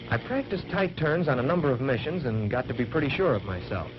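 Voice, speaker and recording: Man acting as young American fighter pilot, 1940s training film narration, plain-spoken, dull soundtrack, loud noise and drone. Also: medium pitch, sing-song, fairly quick, natural voice. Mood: matter-of-fact, confident. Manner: plain-spoken, folksy first-person recounting.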